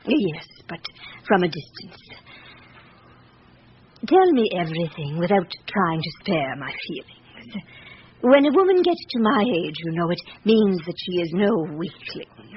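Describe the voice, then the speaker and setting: A woman's voice: fairly low pitch, sing-song, unhurried, long pauses. Elderly posh widow lady, formal n composed, devoted, old radio drama, muffled sound w faint hiss. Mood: frank, searching. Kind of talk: vintage radio drama acting. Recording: dull, hissy old radio broadcast.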